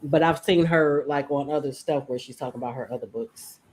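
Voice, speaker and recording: A woman's voice: rumbling low, a little fast, darkish tone, rough recording. Female book show host, casual and chatty, conversational with the audience. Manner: chatty, addresses live audience by name. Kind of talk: casual book discussion chat.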